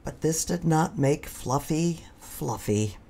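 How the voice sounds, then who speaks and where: A woman narrates a children's picture book with theatrical pauses. Her voice is low and creaky at times, very unhurried, with wide expressive swings. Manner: deliberate, dramatic pauses for children. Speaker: storybook reader.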